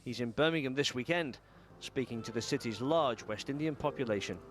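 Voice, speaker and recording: A man reporting a news story in a measured, formal broadcast style. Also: mid-range voice.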